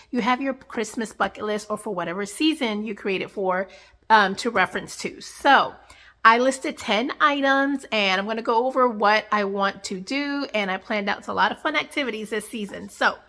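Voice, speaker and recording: A woman's voice: mid-range voice, bright, forceful tone. A young woman with a congested, nasal voice, chatting cheerfully and casually to camera in a home vlog. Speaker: vlogger.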